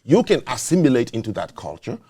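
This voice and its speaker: A man's voice: mid-range voice, wildly swooping pitch, fairly quick, dark tone, slightly rough. A man hosting a talk show, making his case in an emphatic, lecturing way. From not born in the US, naturalized citizen. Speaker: show host. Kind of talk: opinionated talk show discussion.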